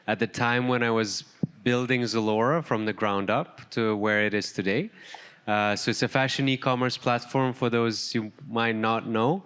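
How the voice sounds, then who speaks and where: Male executive panelist, low smooth voice, measured, conference stage. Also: a bit bright. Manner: measured, filler-heavy. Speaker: panelist, chief operating officer.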